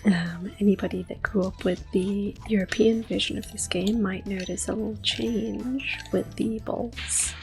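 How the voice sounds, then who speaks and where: A woman hosting soft-spoken ASMR gameplay commentary, whispering gently as she plays. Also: medium pitch, fairly even intonation, a little slow, vocal fry, few pauses. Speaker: ASMR gaming host. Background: game music.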